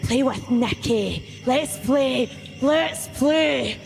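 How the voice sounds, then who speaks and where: Creepy childlike demon, high creaky voice, big reverb, taunting n playful. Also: female voice, animated, darkish tone, faint music behind, full character voice. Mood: menacing playfulness. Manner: taunting, repetitive, drawn-out.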